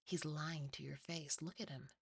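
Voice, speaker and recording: A woman's voice: sits low, fairly even intonation, normal speed, vocal fry, husky, no room sound, clean studio sound, heavily acted. A woman voicing a possessive, furious demon that hisses and sneers in a horror audio roleplay. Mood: hissing fury. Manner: hissing, sneering.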